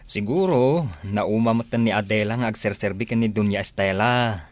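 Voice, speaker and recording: A man's voice: fairly low pitch, moderate pace, no room sound, lightly acted. An actor playing a respectful, gossipy younger village man in a radio-style drama. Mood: gossiping.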